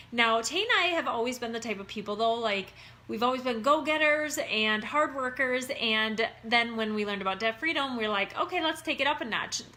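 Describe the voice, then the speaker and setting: Bright, chatty Upper Midwest woman. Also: fairly high pitch. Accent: Upper Midwest American. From Minnesota. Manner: chatty and upbeat, keeps saying "you guys". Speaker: online wellness coach. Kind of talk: casual talk to viewers.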